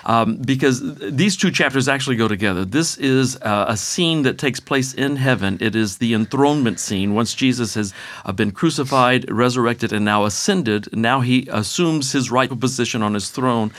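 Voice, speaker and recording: A man's voice: mid-range voice, normal speed, a bit bright, no room sound. A man co-hosting a Bible teaching show, chatting in a friendly way and reading scripture aloud. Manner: friendly, reads scripture aloud, frequent affirmations. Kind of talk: conversational Bible study chat.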